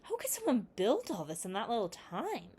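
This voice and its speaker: An eager young heroine, played by a woman narrator, high and lively. She sounds like an incredulous storybook adventurer keen to learn. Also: normal speed, slightly rough, heavily acted. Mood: astonished. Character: eager, astonished young female would-be ninja.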